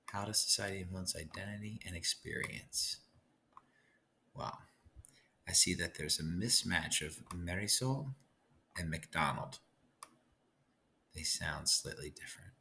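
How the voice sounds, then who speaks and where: Very low-voiced male teacher, noisy recording. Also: unhurried, gravelly, pauses often, pushed and bright. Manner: instructional, addressing students directly. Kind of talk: recorded read-aloud lesson.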